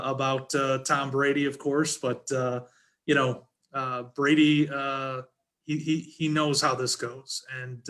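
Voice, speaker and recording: A man giving informal sports analysis as a panelist, in a halting way, repeating words as he builds his point. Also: medium pitch, a bit bright.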